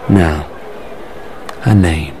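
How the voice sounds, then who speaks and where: An actor playing a suave, polite but relentless gentleman in a radio drama. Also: rumbling low, drawn-out words, a crowd in the background, noisy background, full character voice. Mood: smooth, insistent.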